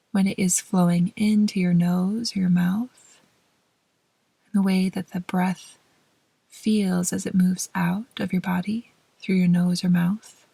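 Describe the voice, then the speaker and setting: A woman gently leading a guided meditation in a soft, breathy voice that often trails toward a whisper, with measured pauses between short phrases. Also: mid-range voice, no echo. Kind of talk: slow, soothing guided meditation narration.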